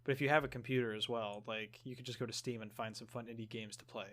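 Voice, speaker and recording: A man's voice: medium pitch, steady tone, fast talker. A man co-hosting a gaming podcast, chatting casually with a joking, teasing manner. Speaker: podcast co-host.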